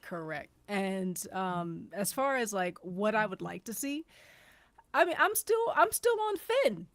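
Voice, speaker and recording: A woman in a casual panel chat, giving her opinions in a plain-spoken, measured way. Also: medium pitch, big pitch swings, dry recording.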